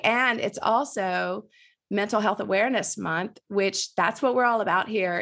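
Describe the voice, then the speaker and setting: A woman hosting a podcast, her voice sitting in an ordinary middle pitch. Allergies leave her a little nasal and raspy. She talks in a bubbly, effusive way. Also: fairly bright tone. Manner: enthusiastic, effusive. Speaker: podcast host. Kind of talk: conversational interview.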